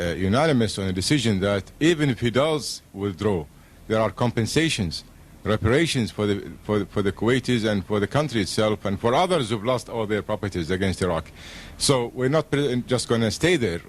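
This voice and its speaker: A man speaking as a radio guest in a formal political discussion, earnest and emphatic, with diplomatic phrasing. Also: fairly low pitch.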